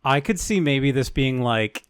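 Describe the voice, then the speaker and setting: A young man co-hosting a podcast, talking in a loose, rambling way and dropping casual swears. His voice sits at an ordinary pitch. The sound is close and dry. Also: lively intonation, measured pace, clear, resonant tone, no echo. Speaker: podcast co-host. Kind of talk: casual chat.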